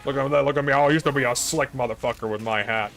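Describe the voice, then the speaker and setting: A man's voice: medium pitch, very fast, a bit bright, lightly acted. A man doing a bragging washed-up ex-jock. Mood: boastful. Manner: bragging, self-mocking. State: hoarse. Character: washed-up boastful male ex-jock, around forty.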